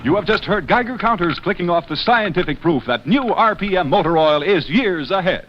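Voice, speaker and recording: A man delivering a formal scripted commercial pitch in the style of 1950s radio. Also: lively intonation, a little fast. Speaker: commercial announcer. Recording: dull old radio, faint noise.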